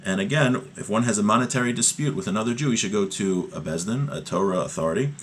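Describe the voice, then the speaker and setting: Male religious teacher, lowish voice, explanatory and informal, working through a text, faint background noise. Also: animated, quick pace. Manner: explanatory, checks with "right?", repeats key phrases. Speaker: religious teacher giving a class.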